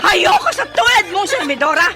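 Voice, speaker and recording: Casting: domineering, jealous older Filipino employer for radio melodrama, high-pitched, wildly animated, accusing. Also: female voice, fairly quick, over loud music. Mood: furious, domineering. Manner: haughty, scolding, accusing. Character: jealous, furious older female boss, cruel and domineering. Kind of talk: melodramatic radio drama acting.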